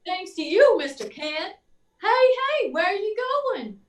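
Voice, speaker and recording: An excitable chief, voiced by a woman in old radio-serial style. The voice is very high and swooping, in a roomy space away from the mic. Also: unhurried, distant mic. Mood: surprised, grateful. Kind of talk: 1940s radio serial acting.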